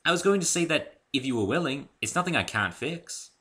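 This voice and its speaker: A man's voice: on the high side, lively intonation, a little fast, pushed and bright, voice pushed up. A man voicing an elegant, fussy female unicorn fashionista with a refined English accent, dramatic and gushing, in a story reading. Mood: hurried, reassuring. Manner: dramatic, effusive, addresses people as darling.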